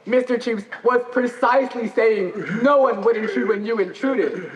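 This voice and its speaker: A teenage boy on stage playing a stern, orderly hospital governor who speaks formally and deliberately. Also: very high-pitched, a crowd in the background. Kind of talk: high school stage play acting. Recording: echoey hall, clearly noisy.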